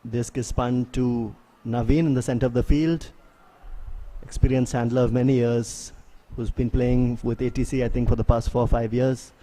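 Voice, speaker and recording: A man giving live play-by-play sports commentary in a measured, analytical way. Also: mid-range voice, fairly even intonation, dark tone, low-quality recording. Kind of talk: live play-by-play commentary of an ultimate frisbee match.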